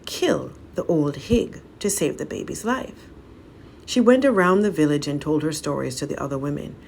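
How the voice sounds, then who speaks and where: A woman's voice: sits low, normal speed, gravelly, a little mellow, slight background noise. A woman storyteller reading a folk tale aloud in a dramatic narrating style.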